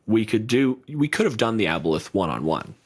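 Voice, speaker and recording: A man's voice: on the low side, sing-song, fairly quick, husky. A man co-hosting a podcast in casual chat, blunt and profane, riffing with lots of energy. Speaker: podcast co-host.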